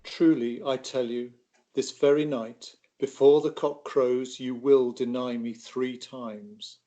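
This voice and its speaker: Grave, sorrowful male teacher read by a man, mid-pitched, measured and solemn, for a dramatised gospel. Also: flat delivery, mellow, dull audio, close to an everyday voice. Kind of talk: dramatised scripture reading.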